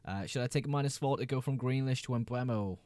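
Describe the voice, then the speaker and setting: A man's voice: medium pitch, quick pace, a little mellow, no room sound. A young man hosting a casual livestream, reading viewers' chat messages aloud and answering them in a laid-back way. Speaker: livestream host.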